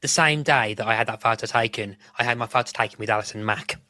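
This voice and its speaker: A man's voice: medium pitch, fast, pushed and bright, no room sound. A middle-aged man, chatting casually as a podcast co-host in a rambling, self-correcting way. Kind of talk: casual chat about a TV show.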